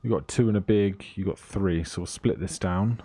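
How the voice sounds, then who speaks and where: Low, mellow man commenting on a game. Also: normal speed, husky. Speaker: let's play host.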